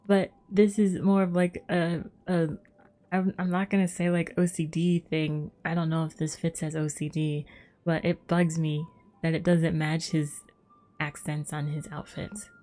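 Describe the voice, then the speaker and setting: A woman's voice: low voice, little pitch movement, unhurried, mellow. A woman livestreaming a game, chatting casually and musing aloud in a rambling way. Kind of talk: casual chat while gaming. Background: game music.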